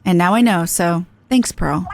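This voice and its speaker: A cool young game agent played by a woman, pitched well down and terse. The delivery is dry and low-key, with faint noise in the recording. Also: unhurried, vocal fry. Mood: reserved.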